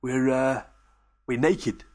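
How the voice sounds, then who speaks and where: A man's voice: mid-range voice, fairly even intonation, slow pace, a little mellow. A man voicing a casual, cheerful furry male creature sidekick. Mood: cheeky. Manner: casual, informal.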